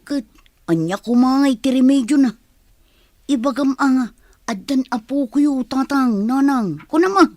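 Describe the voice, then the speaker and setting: A woman's voice: sits high, animated, moderate pace, a little mellow, no room sound, clean studio sound. A woman playing an anxious, exclaiming confidante in a radio drama. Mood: worried.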